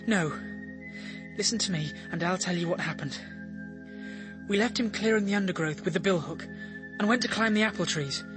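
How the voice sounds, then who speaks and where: A performer playing a controlling, coldly insistent older child in a radio drama, speaking in a deliberate, commanding way. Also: mid-range voice, over music, natural voice. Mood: insistent, controlled.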